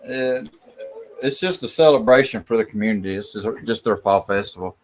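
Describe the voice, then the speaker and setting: A man's voice: lowish voice, fairly quick. A man chatting casually on a livestream, talking plainly with his child and his viewers.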